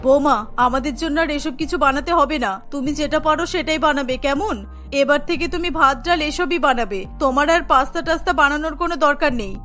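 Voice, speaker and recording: A woman's voice: sits high, a little fast, bright, forceful tone, faint music behind, pitched below the performer's own voice. A woman voicing a bossy, coaxing middle-aged mother-in-law in a Bengali cartoon. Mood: firm. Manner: commanding, coaxing, talks down to family.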